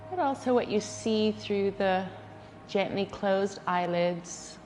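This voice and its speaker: A woman leading a guided yoga nidra relaxation, speaking in a soothing, gentle way with unhurried pauses. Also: medium pitch, faint music behind. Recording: long reverberant hall, faint background noise.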